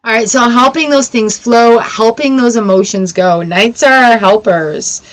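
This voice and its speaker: A woman hosting a live tarot reading, reading card meanings aloud and reassuring her viewers in a gentle, encouraging way. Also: fairly high pitch, unhurried, poor audio. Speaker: tarot reader.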